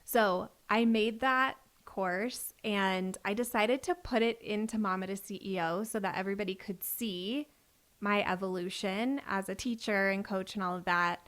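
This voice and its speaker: A woman's voice: medium pitch, moderate pace, fairly bright tone. Chatty woman podcast host. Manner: chatty, frequent "like" fillers. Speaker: podcast host and business coach. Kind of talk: casual conversation.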